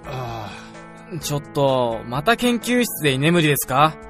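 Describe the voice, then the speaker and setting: Exasperated young male lab junior, played by an actor, bright and animated, nagging, radio drama. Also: mid-range voice, measured pace, soft background music. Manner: nagging, urgent. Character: scolding junior lab colleague, exasperated but helpful. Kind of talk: comedic radio drama acting.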